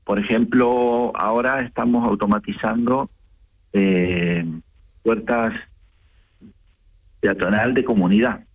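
A man from Argentina with an Argentine accent, phoning in to a radio show and calmly explaining his small business. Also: fairly low pitch, slow pace, pauses often, muffled recording. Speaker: caller, small business owner. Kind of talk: casual phone chat on radio.

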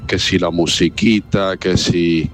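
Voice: low-pitched, fairly even intonation, slow pace, slight background noise. A man venting casually about everyday annoyances as a contributor to a radio show. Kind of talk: casual spoken complaint.

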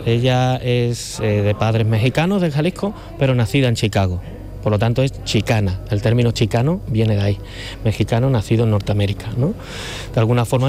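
Middle-aged guy from cádiz, warm dark voice, rambles on easy, circles back a lot. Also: fairly low pitch. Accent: Cádiz Andalusian Spanish. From Cádiz, Spain. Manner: rambling, loose talker who often circles back. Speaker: painter, interview guest. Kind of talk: relaxed conversational interview.

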